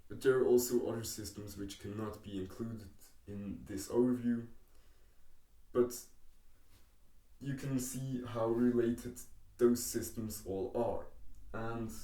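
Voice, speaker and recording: A young man from Switzerland with a Swiss accent, English not his first language, slowly explaining politics over diagrams with many pauses and ums. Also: medium pitch, fairly even intonation, mellow, distant mic. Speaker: educational YouTuber. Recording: echoey room, voice away from the mic.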